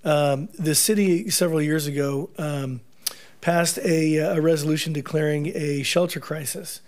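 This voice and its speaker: City attorney guy at the podium, kinda higher voice, easygoing and informative. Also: steady tone, unhurried, a little mellow. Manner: lightly joking, then plainly informative. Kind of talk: staff report at podium. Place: council chamber. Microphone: podium mic.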